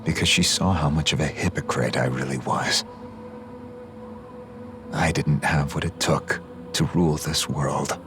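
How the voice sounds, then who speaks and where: An actor playing a severe, brooding middle-aged man in a video game, speaking in a solemn, measured and dramatic way. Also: extremely low pitch, steady tone. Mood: weary resignation. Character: brooding, severe older male ideologue, cold and resolute. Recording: dramatic music behind the voice.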